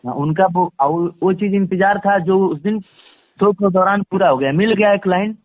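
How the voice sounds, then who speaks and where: Man, emphatic, repetitive phrasing, higher-pitched voice, narrow phone audio, interview guest. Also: moderate pace, no room sound. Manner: emphatic, repeats phrases for stress. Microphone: phone line. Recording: tinny, band-limited phone call recording.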